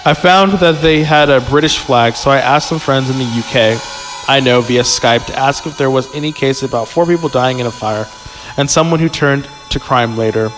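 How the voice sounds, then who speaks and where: A man's voice: mid-range voice, normal speed, a bit bright, soft background music, rough recording. A man reading a story aloud informally as a podcast host, stumbling over lines and tossing in joking asides.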